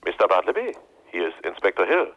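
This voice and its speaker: An actor playing a brisk, businesslike police inspector in a radio drama, heard through a telephone filter. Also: male voice, sits low, very animated. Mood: brisk, official. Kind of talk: radio drama phone dialogue. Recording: dull, muffled phone line.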